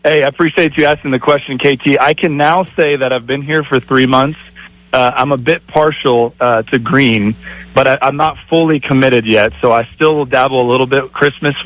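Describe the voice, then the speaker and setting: A middle-aged man, an athletic director guest on a radio show, speaking with a raspy voice in a grateful tone with many fillers. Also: medium pitch, steady tone, normal speed, a bit bright, no echo, highs cut off. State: raspy from screaming. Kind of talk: phone-in radio interview.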